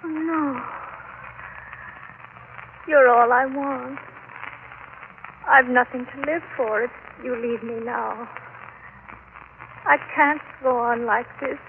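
An actress playing a lonely, lovesick, desperate spinster, plaintive and melodramatic, in a classic radio drama. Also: female voice, high voice, fairly even intonation, slow pace, vocal fry, long pauses, exaggerated voice. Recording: tinny, noisy vintage radio recording.

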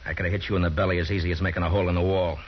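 A man playing a stern deep-voiced lawman. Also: flat delivery, a little hiss or hum, close to an everyday voice. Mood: commanding. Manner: firm, commanding, measured. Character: stern frontier lawman, middle-aged man, calm and hard. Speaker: narrator and lead. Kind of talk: 1950s radio drama acting. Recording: dull old radio broadcast.

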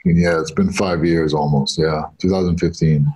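Deep, husky man from New York. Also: fairly even intonation, normal speed, a little mellow. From New York, born in Egypt. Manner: plainspoken, informal. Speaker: podcast guest, startup founder. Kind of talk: conversational personal storytelling.

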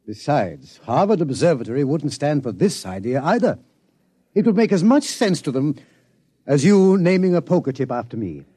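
An actor playing an earnest, formal astronomer with precise, proper diction, in old-time radio drama style. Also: male voice, a little high, very animated, normal speed, dark tone, slightly rough. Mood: reluctant. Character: earnest, formal astronomer, anxious scientific gentleman.

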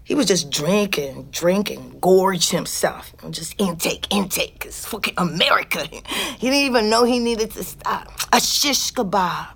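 An actress playing a weary, foul-mouthed middle-aged ex-convict, telling her story in a halting, blunt, confessional way. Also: mid-range voice, expressive, creaky, fairly bright tone, husky, full character voice. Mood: bitter, rueful. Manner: halting, blunt, conversational, profane. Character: weary, foul-mouthed, wary woman ex-convict, a rootless New Yorker. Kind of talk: confessional fiction podcast narration and dialogue.

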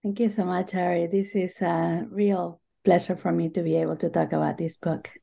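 A middle-aged woman from Argentina, an author and professor, answering interview questions reflectively with many pauses and restarts. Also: lowish voice, fairly even intonation, creaky, mellow, breathy edge.

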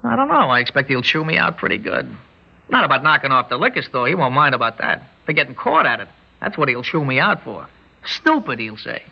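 A man's voice: medium pitch, big pitch swings, fairly quick, gravelly, slightly rough, exaggerated voice. An actor playing a cocky young small-time gunman in a radio drama, with brusque, slangy tough-guy talk. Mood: defensive. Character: cocky, uneducated young male small-time stickup man. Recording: dull old radio recording.

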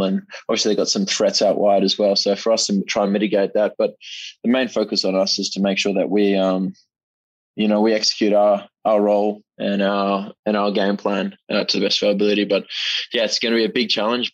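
A man's voice: fairly low pitch, no echo, muffled recording. A young man, a rugby player, answering interview questions modestly and repeating words as he thinks, heard over a remote line. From Zimbabwe.

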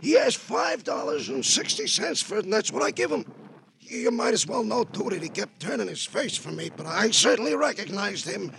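An actor playing a fussy, indignant New citizen informant, peevish and stammering, in radio crime drama style. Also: male voice, high voice, very animated, moderate pace, creaky, a bit bright, exaggerated voice. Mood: self-righteous. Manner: peevish, self-righteous, stammering. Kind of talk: 1950s American radio crime drama acting. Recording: faintly noisy old radio recording.

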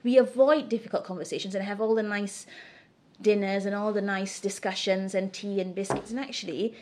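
A woman's voice: medium pitch, fairly even intonation, a little fast, a little mellow. Candid middle-aged woman in interview. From Singapore. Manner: candid, emphatic, opinionated. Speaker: interview guest. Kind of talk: conversational interview answers.

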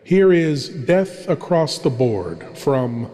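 Man acting a slow, cavernous, sinister horror host. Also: mid-range voice, very animated, dark tone. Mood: ominous, teasing. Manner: dramatic, deliberate, portentous pauses. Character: sinister horror host lord, grandly eerie and intimate. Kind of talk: theatrical scripted horror introduction. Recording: long cavernous reverb.

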